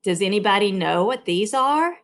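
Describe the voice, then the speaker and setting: A woman reading a storybook as a young, prim schoolteacher who speaks to children with slow, sweet condescension. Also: mid-range voice, expressive, a bit bright. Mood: questioning. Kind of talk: read-aloud storybook character.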